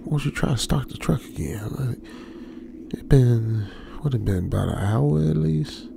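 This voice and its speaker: A man playing a jittery stranded young trucker in a radio drama, muttering to himself in halting, slangy speech. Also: mid-range voice, animated, gravelly, noisy background. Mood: anxious, coaxing. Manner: halting, muttering, colloquial slangy self-talk. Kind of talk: ASMR old-school radio drama monologue.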